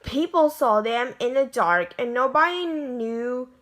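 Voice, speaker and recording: A woman reading a children's picture book aloud in a halting way, stumbling over words as she goes. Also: fairly high pitch, lively intonation, fairly bright tone. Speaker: amateur story reader.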